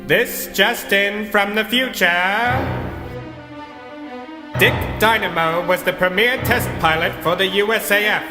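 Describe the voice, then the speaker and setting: An actor playing a grandiose, portentous announcer introducing a retro sci-fi pulp serial. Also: male voice, high voice, takes it slowly. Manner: dramatic, portentous. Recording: echoing long reverb, loud music behind.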